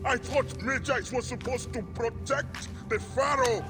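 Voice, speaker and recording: High, slow, taunting villain. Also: male voice, over music. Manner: drawn-out, mocking, theatrical. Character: taunting, threatening male villain of ancient Egypt. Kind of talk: cinematic video game acting.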